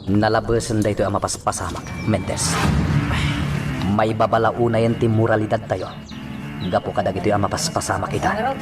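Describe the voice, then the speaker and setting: A man plays a righteous, stern police chief in an Ilocano radio drama. His voice sits slightly low, with music clearly playing behind it. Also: lively intonation, normal speed, pauses often, natural voice. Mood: stern, indignant.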